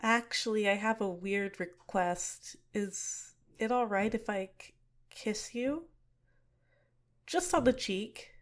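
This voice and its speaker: A young woman playing a scheming, manipulative villainess in an ASMR roleplay, speaking softly and close to the mic. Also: mid-range voice, slow, lightly acted. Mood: shy, apologetic.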